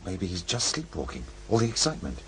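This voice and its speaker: Affable technician, lowish lively voice. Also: male voice, fast, a little hiss or hum, exaggerated voice. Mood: puzzled. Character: practical, affable male camera technician on ghost watch. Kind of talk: audio horror drama acting.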